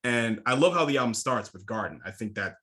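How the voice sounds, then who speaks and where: A man's voice: mid-range voice, expressive, fairly quick, pushed and bright. Enthusiastic man hosting a podcast interview. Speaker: podcast host. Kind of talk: casual interview chat.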